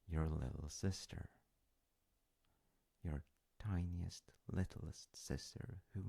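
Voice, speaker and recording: A man playing a gentle, loving, wise dad in an intimate audio roleplay, speaking tenderly and hesitantly in short phrases with a gravelly, breathy voice. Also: low-pitched, no room sound, full character voice. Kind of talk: intimate audio roleplay, improvised-sounding storytelling.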